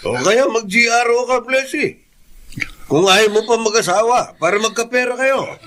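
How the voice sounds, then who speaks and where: A man's voice: very high voice, measured pace, a bit bright, soft background music. A man acting as a callous, dismissive father in a Filipino radio drama, speaking in a scornful, curt way.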